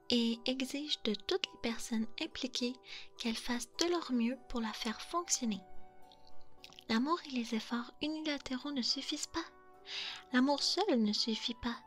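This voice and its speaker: A woman playing a polite, thoughtful fox spirit in an ASMR roleplay, speaking softly and earnestly with a breathy, measured delivery. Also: fairly high pitch. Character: thoughtful, polite female kitsune fox spirit, gently lecturing.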